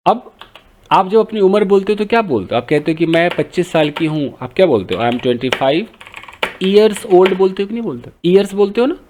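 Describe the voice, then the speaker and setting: A man's voice: high voice, expressive, moderate pace, noisy background. A man teaching English grammar from a newspaper, patiently repeating points and asking his learners questions. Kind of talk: grammar lesson explaining a newspaper.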